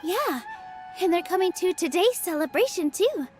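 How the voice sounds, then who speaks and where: A woman voicing a sweet, polite young dancer in a video game, with a gentle, courteous manner. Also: extremely high pitch, sing-song, moderate pace. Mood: friendly. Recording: loud music behind the voice. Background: music.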